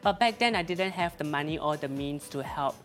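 A man's voice: a little high, expressive, a little fast, a bit bright, soft background music. A young man from Singapore, a guest chatting casually and laughing as he talks, with many restarts and fillers. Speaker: guest, social media creator. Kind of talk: casual chat.

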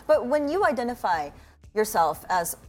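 A woman's voice: fairly high pitch, very animated, normal speed. A woman co-hosting a casual talk show, enthusiastic and affirming as she asks questions. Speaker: co-host.

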